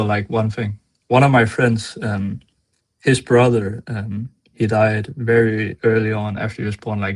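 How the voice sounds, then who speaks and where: A man's voice: on the low side, dry recording. A man from Denmark calling in to a show, speaking hesitantly with lots of fillers as he searches for words. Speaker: caller. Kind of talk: casual call-in conversation.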